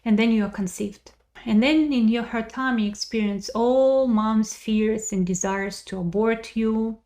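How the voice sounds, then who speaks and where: A woman's voice: on the high side, measured pace, darkish tone. A woman leading a guided visualization with intense, emotive, repetitive phrasing, sometimes dropping toward a whisper. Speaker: guided meditation leader. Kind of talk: guided visualization, improvised storytelling with acted dialogue.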